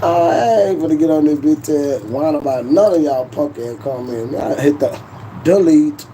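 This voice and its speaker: A man's voice: fairly high pitch, moderate pace, warm tone, a little hiss or hum. A man, hosting a casual livestream in a boastful, profane, laughing way. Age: 40s. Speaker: YouTube livestream host.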